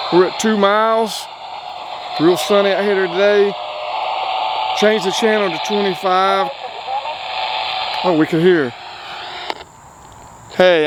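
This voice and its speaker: A man's voice: sits high, background noise. Chatty North Carolina man outdoors. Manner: relaxed, chatty, explains as he goes. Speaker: outdoors channel host. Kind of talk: casual gear-test vlog. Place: outdoors by a car.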